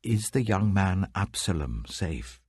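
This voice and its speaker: A man voicing an anxious king with a measured, regal manner in a scripture reading. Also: low voice, sing-song, darkish tone, husky, no echo, studio quality, exaggerated voice. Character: anxious male king awaiting news of his son.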